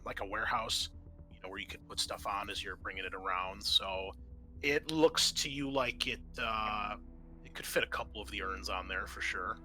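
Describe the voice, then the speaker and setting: A man improvising narration as game master of a tabletop game, halting and conversational. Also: lowish voice, bright, forceful tone. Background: background music.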